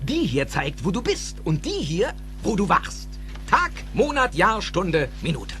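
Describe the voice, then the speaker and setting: An actor playing an excitable, eccentric older scientist in a German audio drama, theatrical and emphatic, with precise technical phrasing. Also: male voice, high-pitched, unhurried, faint music behind, heavily acted. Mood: instructive, reminiscing.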